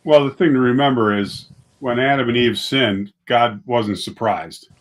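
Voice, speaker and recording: A man's voice: medium pitch, normal speed. A man speaking as a guest in a casual theological discussion, making his points in plain, short statements. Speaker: podcast guest.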